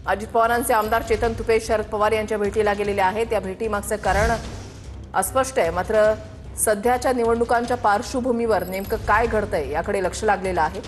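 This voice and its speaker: A woman's voice: medium pitch, fairly even intonation, fairly quick, soft background music. A woman anchoring a breaking news bulletin, repeating and expanding on the headline.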